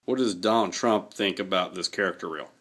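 Low bright guy voice tossing out interview questions. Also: a little fast. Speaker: off-camera interviewer. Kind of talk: short interview prompts.

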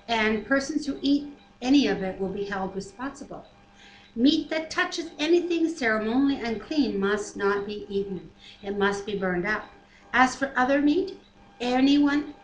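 Middle-aged woman, slightly high voice, scripture reader with informal asides; echoey living-room webcam sound. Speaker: Bible reader and discussion co-host. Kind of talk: reading scripture aloud with casual commentary. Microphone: computer webcam mic at desk distance. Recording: roomy, echoey home webcam, faint background noise.

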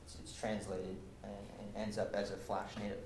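Young male presenter, lowish voice, loose explanatory delivery full of fillers; roomy lecture hall, light hiss. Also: completely flat delivery, normal speed, a little mellow. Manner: casual, explanatory, many fillers and restarts. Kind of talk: technical meetup talk. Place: lecture room with projector. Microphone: far camera. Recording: echoey room, faint hiss, from camera.

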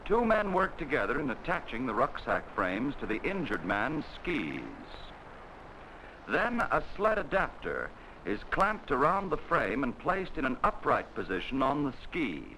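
A man narrating a 1940s military training film in a measured, instructional way, on an old film soundtrack. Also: medium pitch, very animated, pushed and bright, a little hiss or hum, rough recording.

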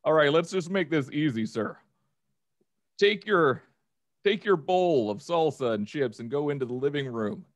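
A man voicing an over-polite customer service agent, formal and halting, in an improvised comedy skit. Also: a little high, animated, dry recording, close to an everyday voice. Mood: strained patience.

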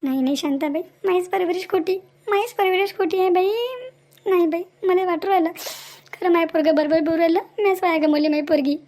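A woman's voice: very high-pitched, a little fast, a little mellow. A woman voicing a worried, folksy village woman in a cartoon family drama, with a Varhadi Marathi accent and a plaintive manner. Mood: reproachful, sorrowful.

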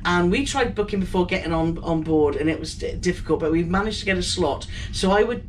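A woman's voice: on the low side, brisk, recorded from a distance, a little hiss or hum. A woman in her fifties co-hosting a travel vlog, chatting enthusiastically about a cruise from their cabin. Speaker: travel vlogger co-host. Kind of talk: casual chat.

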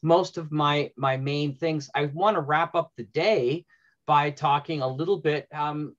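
A man's voice: a little high, steady tone, a little slow, fairly bright tone. A middle-aged man talking informally as the host of a panel. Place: indoor room at home, on a video call. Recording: dull lo-fi video call audio.

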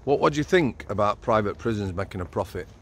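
A man's voice: lowish voice, animated, quick pace. A middle-aged man interviewing a guest on a night street, asking blunt, prompting questions into a handheld mic. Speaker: host interviewing a former prisoner. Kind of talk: walk-and-talk interview. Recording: faint street noise outdoors.

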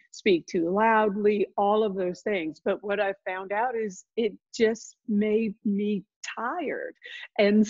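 A woman's voice: mid-range voice, dry recording. A middle-aged woman executive on a video call panel, speaking reflectively about her own path. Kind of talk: panel discussion. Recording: dry, dull video call audio.

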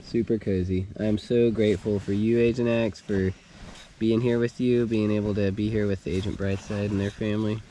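A man's voice: lowish voice, level tone, normal speed, warm tone, slight background noise, poor audio. An American man in his thirties hosting a camping vlog, talking to a handheld camera outdoors and laughing often. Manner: upbeat, laughs often, talks straight to camera. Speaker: outdoor vlogger host. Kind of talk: casual camping vlog chat. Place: outdoors in a rainy forest camp. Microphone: handheld camera held close.

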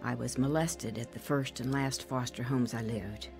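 A woman's voice: a bass voice, normal speed, vocal fry, music in the background. An actress playing a woman soberly recounting her painful youth, narrating a dramatized testimony. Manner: reflective, sober.

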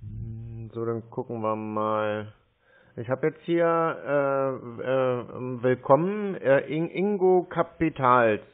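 A man in a middle pitch comes through a narrow, phone-like line. He stalls slowly and hesitantly, filling gaps with hums and fillers while he pretends to follow instructions. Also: animated. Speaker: scam-baiter posing as a call target. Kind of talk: drawn-out phone call.

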